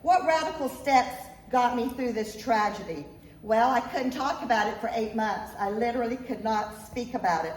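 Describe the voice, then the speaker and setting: A woman's voice: on the high side, normal speed. A woman, giving an earnest, emphatic talk to an audience as an author at a local event. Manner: earnest, emphatic, repeats points. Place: event room, echoey. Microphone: handheld microphone, at times off mic. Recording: echoey room from far off, faint noise.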